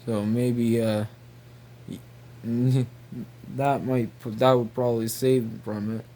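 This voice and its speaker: Slow-talking, incredulous man. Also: mid-range voice, little pitch movement, dark tone, a little hiss or hum. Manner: incredulous, repetitive, halting. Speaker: video creator. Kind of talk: casual rambling commentary.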